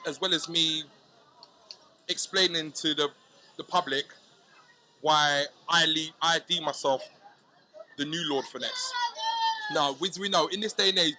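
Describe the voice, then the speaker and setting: A man chatting casually as a hip hop artist guest, animated and slangy, often asking if you know what he's saying. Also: fairly high pitch, a little hiss or hum. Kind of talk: casual podcast chat.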